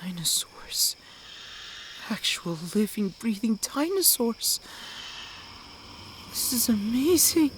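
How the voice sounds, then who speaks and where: A man's voice: very high voice, very animated, slow, pauses often, a bit bright, slight background noise, full character voice. A man playing an armed ex-police officer leading survivors through a jungle in an audio drama, urgent and commanding. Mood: hushed awe.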